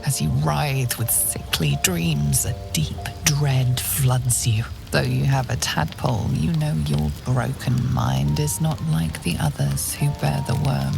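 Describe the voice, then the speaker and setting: Very low, creaky, ominous fantasy narrator. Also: female voice, steady tone, full character voice. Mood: brooding, grave. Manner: deliberate, portentous, often near-whispered. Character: ominous, sinister female narrator of a dark fantasy tale. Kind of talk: scripted second-person game narration. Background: music.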